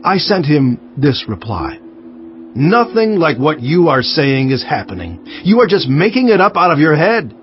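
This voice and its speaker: A man playing an earnest, resolute ancient governor recounting his memoir in a measured, emphatic dramatized scripture narration. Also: on the high side, sing-song. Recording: dull sound with faint music underneath.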